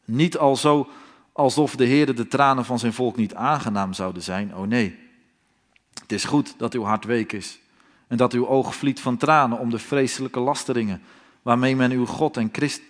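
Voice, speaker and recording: A man preaching a fiery sermon in a solemn, pleading tone, firing off rhetorical questions. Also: mid-range voice.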